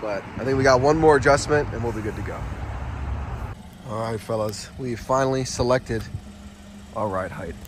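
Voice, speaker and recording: A young man with a congested, stuffy voice talks casually into the phone he is filming with. He stands outside on a quiet street with faint traffic noise behind him, rambling and thinking aloud with plenty of fillers. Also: medium pitch, normal speed, pauses often. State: stuffed-up nose. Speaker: car vlogger. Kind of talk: casual hands-on car vlog. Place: outdoors on a residential street and at a gas station. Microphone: handheld phone camera.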